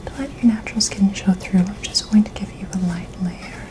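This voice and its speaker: A woman speaking in a breathy whisper, gently and politely explaining each step as a makeup artist in an ASMR role-play. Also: medium pitch, brisk. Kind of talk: ASMR role-play, personal-attention beauty treatment.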